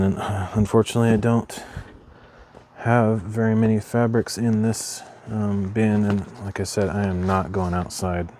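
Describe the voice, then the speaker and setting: Low-voiced man chatting while crafting. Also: a little mellow, a little hiss or hum. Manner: rambling, self-correcting, mild and low-key. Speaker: streamer. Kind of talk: casual livestream chat while crafting.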